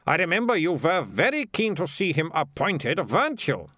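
Brief: firm small-town mayor, put on by a male narrator; cutting and lively. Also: fairly high pitch, normal speed, no echo, studio quality, voice pushed up. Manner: flustered, then firm and cutting. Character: bewildered middle-aged male town mayor.

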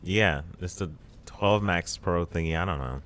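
A 21-year-old man with a deep, low voice sits in as a guest on a casual podcast in his apartment living room. He rambles through stories with a dry, joking edge. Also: a little slow, a bit bright.

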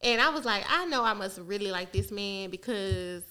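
A young adult woman in a casual podcast chat, an animated storyteller who laughs a lot. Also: medium pitch, moderate pace. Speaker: podcast co-host.

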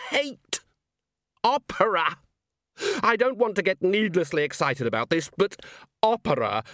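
Guy doing a smooth sarcastic villain for an audiobook, theatrical, fake polite, voice swoops way up. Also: sits high, a bit bright, no echo, clean studio sound, voice pushed up, full character voice. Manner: mock-polite, grandiose, sarcastic, emphatic. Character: suave, sardonic, theatrical male villain with a sword.

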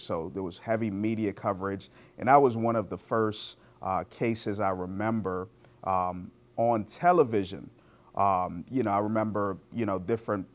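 A middle-aged man from Guyana and New York City answering interview questions as a judge, in a measured, explanatory way. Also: lowish voice. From born in Guyana, grew up in New York City.

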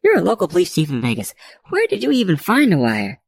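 A woman voicing a brisk, no-nonsense, dismissive female judge in an audiobook. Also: fairly low pitch, huge pitch swings, creaky, breathy edge, no echo, voice pushed up, heavily acted. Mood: challenging.

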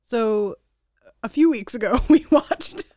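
A woman's voice: fairly high pitch, slow pace, warm tone, no echo, clean studio sound. A woman co-hosting a podcast in casual chat, telling stories in an upbeat, wry way. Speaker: podcast co-host.

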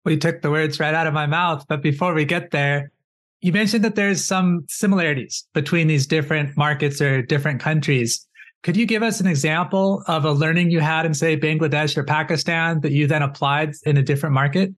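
A man's voice: on the high side, a little fast, fairly bright tone. A man hosting a podcast, politely introducing the show and asking his guest questions. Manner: polite, scripted intro then courteous questions. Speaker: podcast host. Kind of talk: interview hosting.

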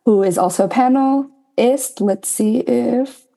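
A woman's voice: fairly high pitch, slow pace, vocal fry, dark tone. A young woman from New Jersey moderating a panel, introducing speakers and herself in an upbeat, friendly way. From New Jersey, United States.

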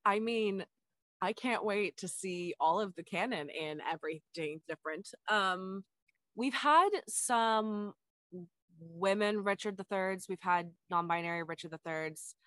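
A stage actor talks casually on a podcast, animated and quick to laugh, with the voice leaping high when excited. The sound is dry and close, with no room behind it. Mid-pitched for a woman's voice. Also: dry recording. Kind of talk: casual chat.

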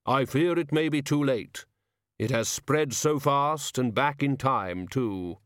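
A solemn hooded master from a storybook, acted by a male narrator, heavy and drawn out. The delivery is very slow, literal and gloomy, puzzled by the world, in a dry close recording. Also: medium pitch, fairly bright tone, no echo.

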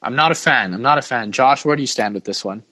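Blunt man hosting a sports podcast, medium pitch. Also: fast, sharp tone, breathy edge. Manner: blunt, informal, questioning. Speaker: podcast host. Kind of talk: casual sports podcast chat.

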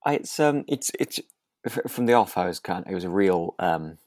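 A deep-voiced co-host talks casually on a podcast. The sound is very dry, free of room echo. The delivery is hesitant and conversational, softening points and often breaking into a chuckle. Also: animated, normal speed. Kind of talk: relaxed conversational discussion.

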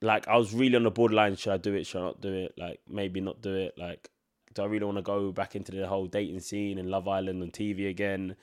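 A man's voice: low voice, level tone, quick pace, clear, resonant tone. A man in his twenties with a blocked-up nose from a cold, hosting a solo podcast in a casual, rambling way full of filler words. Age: 20s. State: congested from a cold. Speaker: podcast host.

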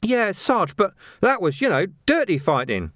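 A naive young lad of a police recruit , put on by a male narrator, pitched well up and wailing nervously. He sounds hesitant and plaintive, an audiobook character in a dry recording. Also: sing-song, normal speed, fairly bright tone, no echo, full character voice. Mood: reluctant.

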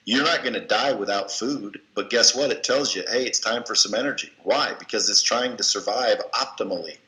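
A man's voice: fairly low pitch, brisk, bright, forceful tone. A man as an interview guest, giving blunt, coaching advice in a folksy way and cursing casually. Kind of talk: motivational advice in conversation. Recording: echoey room.

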